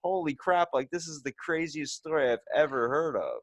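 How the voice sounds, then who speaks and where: A man's voice: a little high, normal speed, no echo. A man chatting casually and telling anecdotes as a podcast host, in blunt, profane, slangy language.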